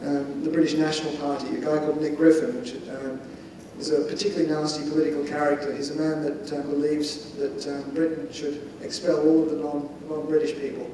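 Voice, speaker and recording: A man giving a considered, discursive panel answer in a measured, explanatory and opinionated way. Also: on the high side, little pitch movement, warm tone. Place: large indoor conference hall. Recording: echoey hall, distant mic, faint noise.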